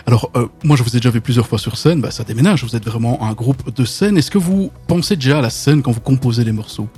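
Fast husky male interviewer. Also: mid-range voice, warm tone, soft background music. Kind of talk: casual interview questions.

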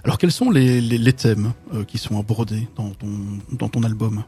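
A man's voice: medium pitch, steady tone, moderate pace, warm tone, husky, faint music behind. A man interviewing a musician in a casual chat, often agreeing and prompting.

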